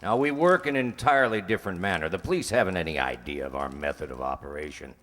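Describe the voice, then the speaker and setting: A man acting in an old-time radio crime drama as a polite, persistent drug dealer pitching like a salesman. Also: lively intonation, fast talker, a bit bright. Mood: insistent, persuasive. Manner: polite, insistent salesman's patter. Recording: long reverb, hall-like room.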